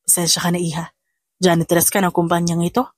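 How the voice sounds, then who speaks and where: Woman playing a courteous older male executive, slightly lowered. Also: completely flat delivery, a little fast, no room sound, studio quality, close to an everyday voice. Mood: gentle, pensive. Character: calm older male company CEO, courteous, pensive.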